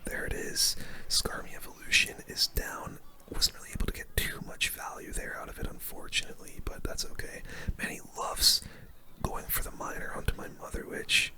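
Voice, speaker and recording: An ASMR gaming host giving casual play-by-play commentary in a hushed, breathy, unvoiced whisper with gamer slang. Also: normal speed, poor audio. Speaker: gaming video host playing a card battle game.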